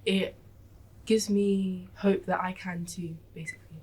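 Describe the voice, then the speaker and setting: Soft, breathy young woman. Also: on the low side, fairly even intonation, measured pace, a little hiss or hum. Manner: quiet, hesitant. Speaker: student.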